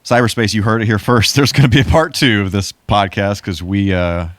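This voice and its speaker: A man's voice: fairly low pitch, steady tone, a little fast, fairly bright tone, no room sound. A man co-hosting a podcast in casual chat, with a jokey, mock-grandiose way of addressing listeners. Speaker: podcast co-host.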